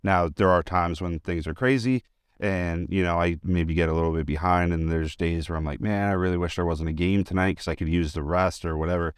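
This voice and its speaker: A man with an extremely deep, clean, resonant voice talks as a guest in a relaxed interview. He is informal and chatty, sharing personal anecdotes with enthusiasm. The sound is dry, with hardly any room to it. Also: brisk, clear, resonant tone, no echo. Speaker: interview guest, high school teacher and sports announcer. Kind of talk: casual conversational interview, anecdotes.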